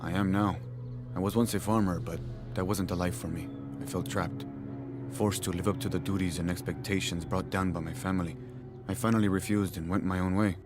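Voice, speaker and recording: Low-voiced proud villager turned hunter man acting. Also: steady tone, brisk, lightly acted. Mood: reflective. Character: contented male villager, farmer turned hunter. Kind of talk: video game dialogue acting. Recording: game music behind the voice. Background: music.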